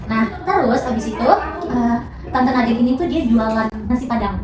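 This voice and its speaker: A young woman tells a story in a quick, loose, chatty way, full of fillers and restarts. Her voice sits a touch high. She sounds far from the mic in an echoey room, with a crowd murmuring behind her. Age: young adult. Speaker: student podcast storyteller. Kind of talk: casual storytelling chat.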